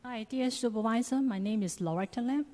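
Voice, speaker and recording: A woman with a Cantonese accent, a native Cantonese speaker, giving prepared public testimony at a meeting in halting, non-native phrasing. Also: on the high side, warm tone. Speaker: public commenter. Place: large echoing public meeting chamber. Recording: long echoing hall reverb.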